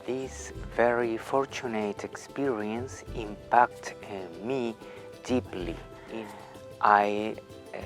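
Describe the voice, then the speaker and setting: Slow, halting man. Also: on the low side. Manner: halting, with frequent uh pauses. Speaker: psychology professor. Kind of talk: recorded lecture for students. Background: faint music.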